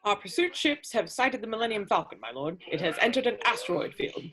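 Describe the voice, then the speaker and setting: Imperial officer, read by a woman: formal and deferential, mid-pitched with animated inflection, space opera drama. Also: a little fast, low-quality recording. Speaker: amateur actor in a table read. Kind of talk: dramatic script read-through.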